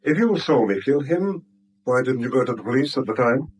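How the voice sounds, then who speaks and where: Actor as a terse, cornered bank manager. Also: male voice, medium pitch, expressive, a little fast, close to an everyday voice, low-quality recording. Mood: appalled. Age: middle-aged. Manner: terse, curt, guarded. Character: stern, cornered middle-aged male bank manager with secrets. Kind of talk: 1970s radio drama acting.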